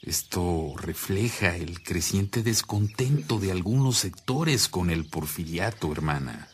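An actor with a Mexican accent playing an earnest, reflective priest in a radio drama, speaking in a measured, solemn way. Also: male voice, low-pitched, animated, slight background noise. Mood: grave. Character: earnest, reflective Mexican priest, calming his worried sister. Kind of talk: Spanish-language radio drama acting.

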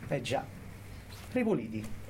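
A man's voice: mid-range voice, sing-song, unhurried, darkish tone, slight background noise. A man playing a cynical, bullying prison guard in a radio monologue, with a Roman accent and a sardonic, goading manner full of rhetorical questions. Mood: mocking. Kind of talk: theatrical radio monologue in colloquial dialect.

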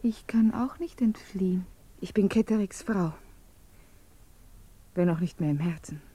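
A woman's voice: lowish voice, measured pace, mellow, slightly rough. Radio drama, married woman with a secret love: intimate, wistful, teasing, often whispering. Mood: grave. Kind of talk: German radio play acting.

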